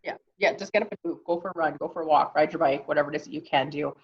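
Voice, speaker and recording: Casting: female workshop presenter, Canadian accent, encouraging and conversational, on the lower side, muffled lo-fi sound. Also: racing. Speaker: workshop presenter on mental health. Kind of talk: informal online workshop presentation.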